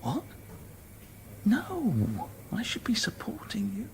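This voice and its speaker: A man's voice: sits high, wildly swooping pitch, takes it slowly, a little hiss or hum, exaggerated voice. An actor playing a hesitant, guilty middle-aged husband and father in a radio play, placating. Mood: subdued. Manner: faltering, placating.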